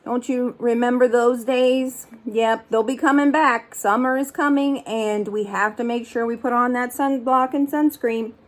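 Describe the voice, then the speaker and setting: A woman reading a picture book aloud like a teacher, expressive and instructive, speaking to young listeners. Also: fairly high pitch, a little slow, hardly any pauses. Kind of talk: storybook read-aloud with brief asides.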